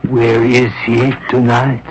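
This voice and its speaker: Kindly priest, played by a man, mid-pitched, measured and formal, radio play, muffled with clear noise. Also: monotone, natural voice. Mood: gentle, concerned. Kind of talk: radio drama acting. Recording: dull, noisy old radio broadcast.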